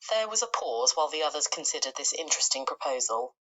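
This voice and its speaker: An English woman narrates a children's book in a bright, forward voice. Her delivery is expressive and scripted, with very lively pitch movement. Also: fast talker, low-quality recording. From England. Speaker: storybook reader.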